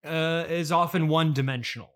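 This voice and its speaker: A man hosting a casual comedy interview in a halting, stammering, agreeable way. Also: fairly high pitch, fairly bright tone. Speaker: interviewer and host.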